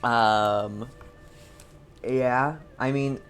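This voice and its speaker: Casting: laid-back streamer, unhurried rambling chat, easily amused, faint game music behind. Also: mid-range voice, steady tone, smooth. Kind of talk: casual live-stream chat. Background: video game music.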